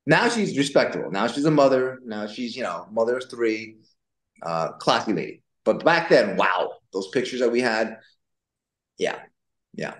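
A man in his forties speaks at an average pitch in a casual podcast chat. He is playful and digressive as he tells his stories. The room around his voice is audibly echoey. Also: lively intonation, normal speed, fairly bright tone. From born in Taiwan. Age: 40s. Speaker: podcast guest. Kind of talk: casual conversational storytelling. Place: indoors in a pool house.

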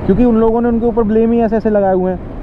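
A man's voice: high-pitched, steady tone, very fast. A man, a motovlogger giving a colloquial, indignant and pleading opinion while riding. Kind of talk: casual opinion vlog. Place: outdoors, riding a motorbike on a highway. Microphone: helmet-mounted microphone. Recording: muffled phone-like helmet mic, loud road noise.

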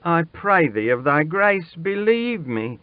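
A man's voice: fairly high pitch, takes it slowly, no echo, highs cut off, pitched above the performer's own voice. A man voicing a humble young pauper boy, frightened and reverent, pleading in old-fashioned, archaic speech. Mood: desperate pleading.

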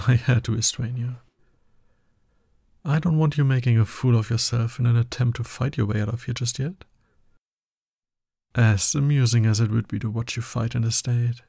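A man's voice: mid-range voice, vocal fry, mellow, no room sound, clean studio sound. A man playing a smug, dominant villain in an ASMR roleplay, taunting his hero nemesis with drawling exasperation.

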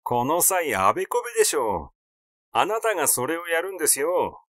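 A man's voice: a little high, very animated, moderate pace, fairly bright tone, no echo, clean studio sound. A man voicing a cheeky, meddling young man in a story reading, needling and argumentative. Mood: mocking.

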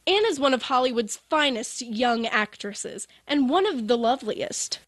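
A woman's voice: fairly high pitch, animated, unhurried, no room sound, studio quality. A woman as a Hollywood reporter in scripted 1950s radio style, polished and gushing.

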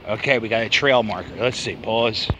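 A man's voice: fairly low pitch, moderate pace, sharp tone, a little hiss or hum. A man in his thirties from Philadelphia, vlogging while walking through a wooded park, talking to himself in an anxious, joking ramble into a phone held at arm's length. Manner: anxious rambling self-talk, jokey. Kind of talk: walking selfie vlog.

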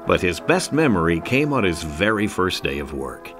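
Male narrator, low-pitched with animated delivery, scripted documentary read over clear music. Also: normal speed.

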